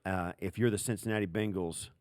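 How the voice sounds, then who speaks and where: A man's voice: low voice, steady tone, a little fast, darkish tone, dry recording, studio quality. A man with an American accent co-hosting a football podcast as a former player, casual and emphatic with punchy, repeated phrases.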